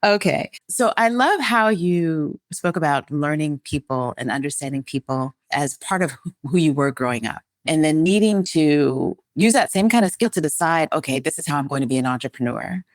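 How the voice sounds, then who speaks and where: A woman hosting an interview podcast who encourages her guest with quick affirmations and frequent laughter. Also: sits low, expressive, no room sound, clean studio sound. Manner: warm affirmations, playful laughter. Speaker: podcast host.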